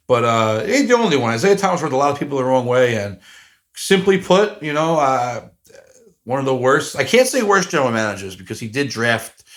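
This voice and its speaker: A man's voice: medium pitch, fairly bright tone. A man in his forties talking alone on a podcast, rambling and self-deprecating, with lots of fillers and restarts. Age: 40s. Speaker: podcast host. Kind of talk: casual solo podcast monologue.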